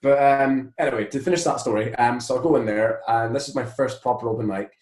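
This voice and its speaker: A Scottish man, a musician being interviewed, telling anecdotes casually in a rambling, animated way. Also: mid-range voice, fast, reverberant. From Scotland.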